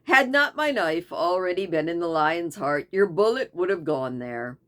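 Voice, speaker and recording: A gallant young goat hunter who jokes lightly about his wounds, as a woman reads him in a lowish voice. Storybook reading. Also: animated, normal speed, a bit bright. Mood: wry. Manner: light and joking.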